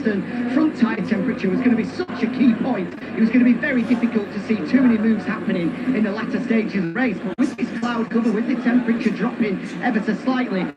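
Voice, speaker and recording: Male pit lane reporter, high voice, live broadcast delivery amid loud trackside noise. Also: muffled recording. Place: outdoors on a race grid.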